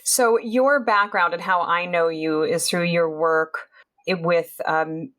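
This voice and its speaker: A woman's voice: fairly low pitch, steady tone, takes it slowly, a bit bright. A woman hosting a clinical interview podcast, speaking with enthusiasm and occasional fillers. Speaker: podcast host.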